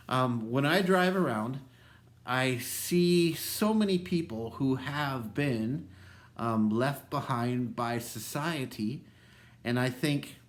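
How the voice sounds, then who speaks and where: Lively Minnesota-tinged pastor, relaxed. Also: male voice, mid-range voice. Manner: relaxed, conversational, many ums and restarts. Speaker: associate pastor. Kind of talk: devotional talk to camera.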